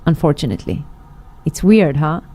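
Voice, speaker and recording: A woman's voice: deep voice, animated, moderate pace, warm tone. A middle-aged woman, a singer, telling her story in an interview with many fillers and restarts. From Israel. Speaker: guest, singer. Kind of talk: interview conversation.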